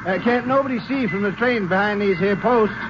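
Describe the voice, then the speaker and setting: An actor playing a chatty small-town railroad station master with a folksy, unhurried drawl, in 1950s radio drama style. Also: male voice, sits high, noisy background, lightly acted. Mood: easygoing. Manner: rambling, drawn-out, unhurried. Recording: dull lo-fi old radio broadcast.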